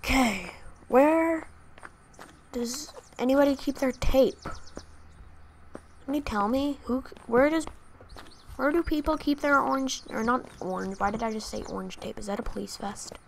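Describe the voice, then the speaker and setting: Chatty woman with a gravelly voice. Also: sits high, measured pace, pauses often, slight background noise. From Canada. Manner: chatty, thinking aloud to viewers. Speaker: gaming channel host. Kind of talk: casual gameplay commentary. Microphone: new desktop microphone.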